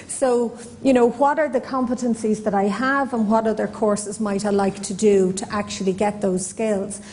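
A middle-aged woman explains her view on a conference panel in a measured way, with informal asides. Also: medium pitch, fairly even intonation, a little mellow. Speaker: panelist, guidance professional. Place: indoor conference hall stage.

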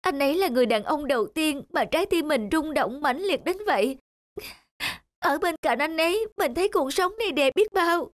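A woman voicing young office friends, lovesick or caring, in an animated film. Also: high-pitched, normal speed, dry recording. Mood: lovesick, wistful. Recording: loud music behind the voice. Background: loud music.

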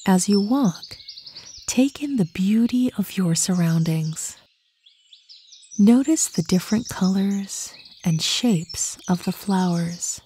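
A woman narrating a guided meditation in a calm, soothing, unhurried way, with a soft voice that turns breathy at phrase ends. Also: mid-range voice, slight background noise. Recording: birdsong and nature ambience behind. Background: birdsong, outdoor nature sounds.

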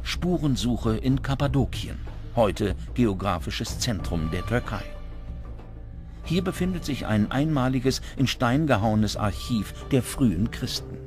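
Guy narrating a documentary, mid-pitched voice, music behind him. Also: lively intonation, a little slow, a little mellow. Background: background music.

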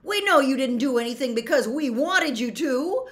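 A woman voicing a defiant, desperate teenage boy in an audiobook, protesting in an urgent shout. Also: sits high, lively intonation, normal speed, pitched above the performer's own voice. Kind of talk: dramatic audiobook character voice.

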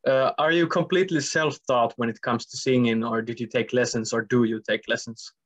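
A man's voice: mid-range voice, fairly even intonation, fairly quick. A young man interviewing a musician over a video call, asking casual questions with many fillers and hesitations. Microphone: video call with headset. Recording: dull video call audio.

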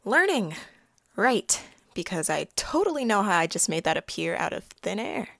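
Woman as a wry young heroine, medium pitch. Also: big pitch swings, normal speed, vocal fry, a bit bright, dry recording, studio quality, full character voice. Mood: wry narration. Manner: casual, wry, hesitant. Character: awkward, sarcastic girl, reluctant dream apprentice. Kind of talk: audio drama acting and narration.

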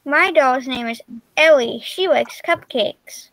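A woman's voice: high-pitched, slow pace, fairly bright tone, no room sound, low-quality recording. A teenage girl reading a picture book and its character lines aloud over a video call.

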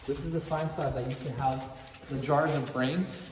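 A man guides his friend through a derelict building, chatting casually and jumpily and swearing now and then. He stands away from the camera, so his voice sounds distant in a hard, very echoey space with clear hiss behind it. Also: mid-range voice, steady tone, normal speed, a little mellow. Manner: jumpy, whispering, swearing casually. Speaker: guide showing a friend around. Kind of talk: casual chat. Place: inside a large abandoned building.

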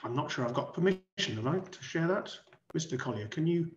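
A man's voice: a little high, lively intonation, a little fast. A middle-aged man from West Wales giving an explanatory online presentation as a college head, posing rhetorical questions and repeating points for emphasis. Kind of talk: online presentation to prospective families. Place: online video call. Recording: dull band-limited video call audio.

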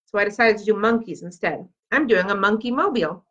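A woman's voice: mid-range voice, animated, fast, fairly bright tone, breathy edge, highs cut off. A woman voicing a friendly, eager young schoolboy in a children's storybook. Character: friendly, eager young schoolboy who likes sea creatures. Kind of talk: children's storybook read aloud.

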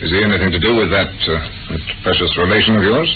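An actor playing an inquisitive gentleman master of the house in 1940s radio drama, brisk and questioning. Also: low voice, over music, close to an everyday voice. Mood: curious. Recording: tinny, noisy vintage radio recording.

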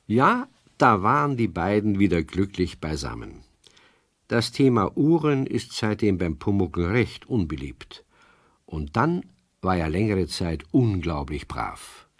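A man's voice: low voice, sing-song, a little slow, no echo, studio quality, exaggerated voice. A man narrating a children's radio play as a scripted storyteller. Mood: wry.

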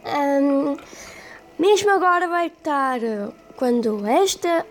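Brief: hoarse Portuguese woman gamer, high pitch, slow and hesitant, faint music behind. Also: animated. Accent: European Portuguese. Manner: rambling, hesitant. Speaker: gaming youtuber. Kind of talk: casual let's play commentary. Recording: voice over game music.

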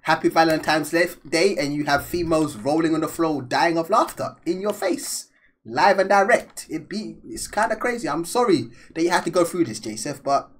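A man's voice: on the high side, lively intonation, normal speed. Laid-back Danish-born man reacting. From Denmark. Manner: laid-back, joking, slangy. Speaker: reaction video host. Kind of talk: casual reaction commentary. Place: small room indoors.

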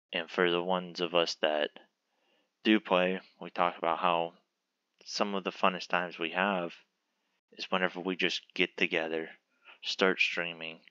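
Very deep gravelly slow-talking man. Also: no room sound, dull audio. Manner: relaxed, rambling with fillers. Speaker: channel host. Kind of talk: casual talk to camera about a channel mascot.

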